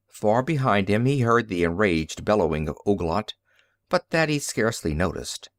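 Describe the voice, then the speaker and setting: A man reading science fiction as an audiobook narrator, in a dramatic, deliberate storytelling manner. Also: mid-range voice, dry recording, studio quality.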